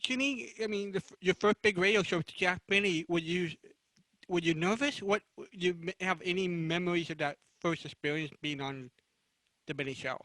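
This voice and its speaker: A man moderating a casual convention panel interview, rephrasing his questions in a halting way as he goes. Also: high-pitched, sharp tone, no echo, rough recording.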